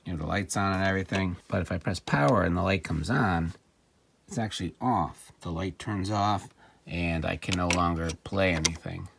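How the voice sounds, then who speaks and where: Deep, gravelly man, casual demo. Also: moderate pace, background noise. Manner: wry, excitable, talking to himself while tinkering. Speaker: vintage electronics seller demonstrating a device. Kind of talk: casual off-the-cuff product demo. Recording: music playing behind the voice. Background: music from the player being tested.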